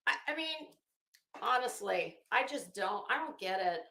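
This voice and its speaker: A woman's voice: medium pitch, lively intonation, unhurried, creaky, sharp tone. A blunt woman giving sarcastic, dramatic commentary as she streams, mixing reading aloud with off-the-cuff remarks and swearing. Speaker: commentary host, live streamer. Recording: echoey, roomy sound.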